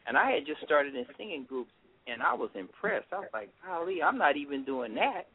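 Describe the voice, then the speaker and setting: Relaxed middle-aged man on a phone line. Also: a little high, a little fast, noisy background. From Washington, D.C. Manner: relaxed, rambling, many fillers. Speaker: guest musician. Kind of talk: casual interview.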